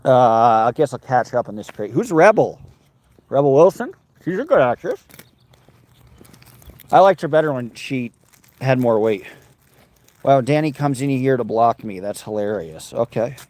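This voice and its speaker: A man's voice: mid-range voice, sing-song, normal speed, long pauses, darkish tone, dry recording. A man rambling casually on a livestream from a car, talking to his dog and his viewers. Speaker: livestreamer on a road trip.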